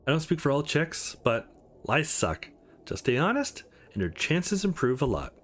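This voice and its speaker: A man's voice: on the high side, big pitch swings, moderate pace, fairly bright tone. A man voicing a brash, teasing young woman bartender from a visual novel, blunt and sassy. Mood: frank.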